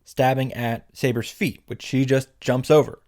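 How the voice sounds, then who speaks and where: A man's voice: medium pitch, flat delivery, normal speed, a little mellow. A man delivering a scripted comedic recap commentary in a wry, profane, mock-grandiose manner. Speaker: visual novel reactor and narrator. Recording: background music under the voice.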